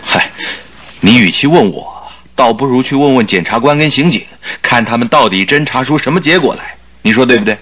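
An actor playing a stubborn, skeptical doctor who is a detective's companion, arguing theatrically and insistently in a Chinese radio drama. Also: male voice, mid-range voice, expressive, a little fast, fairly bright tone, husky. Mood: dismissive, questioning.

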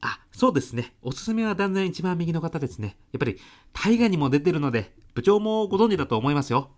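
A woman's voice: low-pitched, very animated, quick pace, darkish tone. A woman playing a polite, deferential young salaryman son-in-law in a comic radio drama, with a hesitant businesslike manner. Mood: businesslike, courteous.

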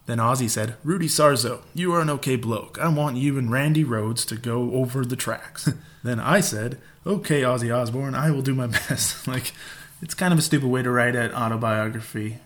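A man's voice: mid-range voice, normal speed, a bit bright. A man hosting a podcast in a casual monologue, irreverent and profane, with laughing asides. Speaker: podcast host.

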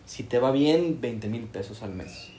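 A man's voice: mid-range voice, lively intonation, measured pace, dark tone, slight background noise. A Mexican man in casual chat, reflecting and explaining at length.

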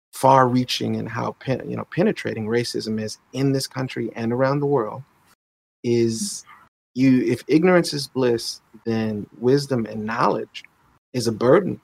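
A man in his late thirties talking reflectively in a conversation, thoughtful and halting, with frequent restarts and filler phrases. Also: mid-range voice, vocal fry, rough recording. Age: 30s.

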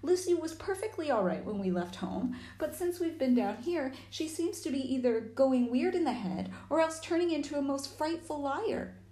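A woman's voice: sits high, animated, moderate pace, few pauses, warm tone, roomy sound, voice pushed up. A woman voicing a stern older brother who scolds in a storybook reading. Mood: scolding.

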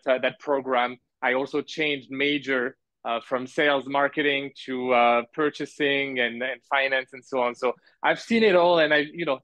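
A man who grew up in France, a podcast guest telling his story casually in a halting, rambling way full of asides. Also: medium pitch, a bit bright, no echo. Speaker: podcast guest, business owner. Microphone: remote call. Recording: dull lo-fi audio, close and dry.

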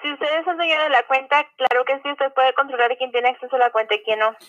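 A woman's voice: high-pitched, fast, fairly bright tone, soft background music. A woman working as a customer service agent, heard over the telephone, speaking politely and accommodatingly. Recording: dull, lo-fi phone line.

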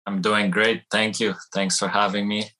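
Low, flat-voiced man, relaxed and rambling. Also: normal speed. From Iran. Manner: relaxed, rambling, many fillers like "you know" and "uh". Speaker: football technical trainer, guest. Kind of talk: casual interview answers.

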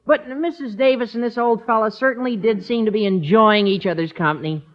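A man playing an eager, excitable high school boy with a high, squeaky adolescent voice in a radio comedy. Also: fairly quick, close to an everyday voice. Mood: gushing. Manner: gushing, over-polite, breaks into nervous laughter. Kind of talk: 1950s radio comedy acting. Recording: dull old radio recording, studio audience.

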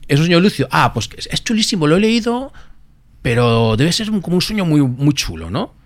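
Fast-talking man explaining eagerly. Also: fairly high pitch, no room sound. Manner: fast, animated explaining with many asides. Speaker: guest, lucid dreaming expert. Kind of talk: podcast interview explanation.

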